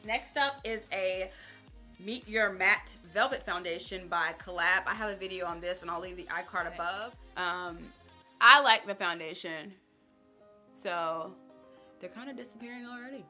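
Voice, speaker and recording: A young woman casually chatting to camera as a beauty vlogger, upbeat and talking straight to her viewers, her voice a little raspy. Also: on the low side, normal speed, bright, forceful tone, faint music behind. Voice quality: slightly raspy.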